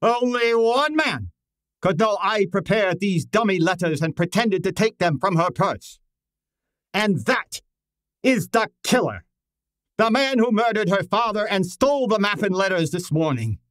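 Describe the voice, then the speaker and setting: Male actor as pompous private detective, high-pitched, unhurried, theatrical, exacting formal diction, old-time radio drama. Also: lively intonation, a bit bright, no room sound, studio quality. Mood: accusing, triumphant.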